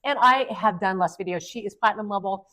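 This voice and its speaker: A woman's voice: mid-range voice, quick pace, sharp tone. A woman in her fifties, a guest on a podcast, telling stories in a rambling way with lots of fillers. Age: 50s. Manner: rambling, meandering storyteller with many fillers. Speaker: podcast guest and Amazon influencer. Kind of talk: casual conversation.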